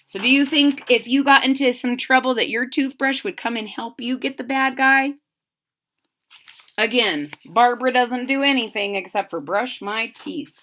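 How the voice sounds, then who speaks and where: A woman reading a children's picture book aloud expressively, pausing to explain it simply and put questions to young listeners. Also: fairly high pitch, a bit bright.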